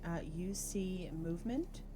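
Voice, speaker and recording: A woman's voice: lowish voice, expressive, slow, background noise. A woman running a tabletop roleplaying game, giving players casual instructions and rulings. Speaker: game master. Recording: clear music behind voice.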